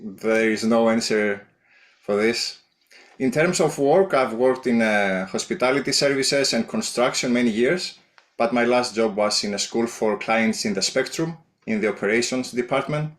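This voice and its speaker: Greek guy with a thick accent, joking around while he introduces himself, regular pitch. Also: normal speed. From Greece. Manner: jokey, light humor. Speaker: presenter. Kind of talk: friendly self-introduction at medical rounds.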